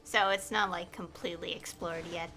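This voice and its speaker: A woman's voice: lowish voice, fairly even intonation, moderate pace, sharp tone, soft background music. A woman giving casual live game commentary as a streamer, musing and thinking aloud.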